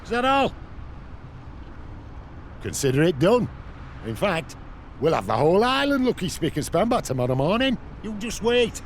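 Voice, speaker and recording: A man's voice: sits high, big pitch swings, normal speed, long pauses, a little hiss or hum. A man playing a hearty, proud but good-natured village headman in a video game, with a declamatory delivery. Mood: hearty, commanding.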